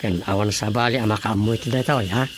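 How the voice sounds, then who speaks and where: A man's voice: fairly low pitch, steady tone, fairly quick, noisy background, natural voice. A man acting a scheming, bossy village officer-in-charge in an Ilocano radio comedy drama, with an Ilocano Filipino accent. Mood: secretive, commanding.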